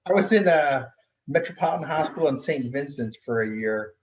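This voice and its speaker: A middle-aged man hosting a casual medical discussion, asking informal, halting questions over a muffled video call. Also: fairly high pitch, expressive, crowd noise. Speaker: host, gynecologic surgeon. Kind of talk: casual interviewing.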